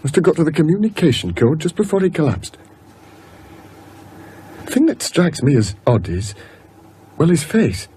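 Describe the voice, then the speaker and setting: An actor playing a deferential, uneasy railway guard, plain-spoken, in a radio horror drama. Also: male voice, a little high, very animated, moderate pace, long pauses, dark tone, a little hiss or hum. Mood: grave, uneasy.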